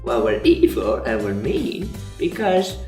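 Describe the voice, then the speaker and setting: A woman's voice: very deep voice, very animated, unhurried, mellow, faint music behind, full character voice. A woman voicing a cranky elderly grandparent AI, grumbling theatrically in an improvised comedy advert. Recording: roomy echo, distant from microphone.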